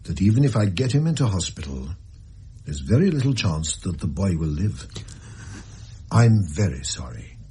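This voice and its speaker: An actor playing a grave, courteous doctor in a radio drama, speaking formally and frankly in a raspy voice. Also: male voice, low voice, very animated, mellow, exaggerated voice. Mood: grave, regretful. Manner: formal, measured, regretful.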